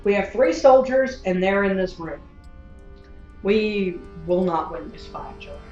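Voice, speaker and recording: An actress playing a wry, blunt, independent space gunslinger in a science fiction audio drama. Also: female voice, mid-range voice, lively intonation, a little slow, darkish tone, roomy sound, highs cut off, soft background music, lightly acted.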